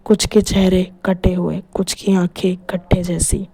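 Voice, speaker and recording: Female storyteller for scripted horror tales, dark, soft-edged tone. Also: fairly low pitch, level tone, unhurried, vocal fry, slightly rough. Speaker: narrator.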